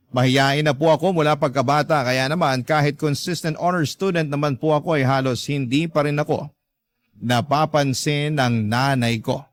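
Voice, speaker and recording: A man reading a scripted dramatic story as a radio storyteller, measured and earnest. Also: fairly high pitch, dry recording. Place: dry studio.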